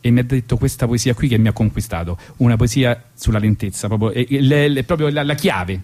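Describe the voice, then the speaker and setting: A man's voice: medium pitch, fairly quick, darkish tone. A man with a Roman accent hosting a radio show in an ironic, colloquial comic monologue style, rambling and repeating himself.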